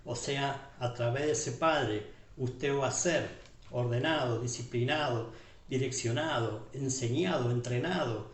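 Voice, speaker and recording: Argentine pastor preaching in an echoey hall. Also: male voice, mid-range voice, measured pace. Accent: Argentine Spanish. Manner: repeats tag questions, list-like phrasing, addresses listeners as beloved. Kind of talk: sermon. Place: church hall. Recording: echoey church room from far off.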